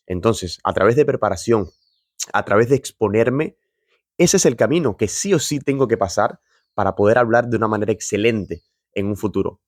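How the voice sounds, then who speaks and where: A man's voice: on the low side, moderate pace, dry recording, clean studio sound. A man giving an earnest, encouraging reflection straight to camera, admitting he is nervous about speaking on video. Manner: earnest and encouraging, addresses viewer directly. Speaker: motivational video host.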